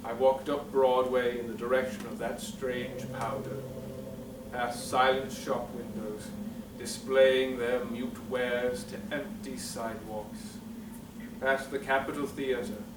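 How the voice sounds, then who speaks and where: A man playing a solemn survivor of an alien invasion in an old-time radio drama, speaking in a grave, measured, theatrical way. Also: mid-range voice, little pitch movement, far from the mic, lightly acted. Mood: grave, haunted. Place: stage performance in a roomy theatre. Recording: echoey, noisy stage captured from afar.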